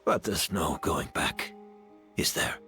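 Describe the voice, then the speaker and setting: An actor playing a proud, unrepentant master perfumer in defeat, measured and wistful, in a dramatic video game scene. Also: male voice, sits low, music in the background. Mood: wistful defiance.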